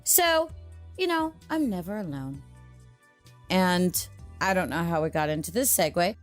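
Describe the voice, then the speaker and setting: A woman's voice: lowish voice, animated, unhurried. A woman hosting a story channel, chatting casually to her listeners in a rambling, digressive way. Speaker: host and story narrator. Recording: music behind the voice. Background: music.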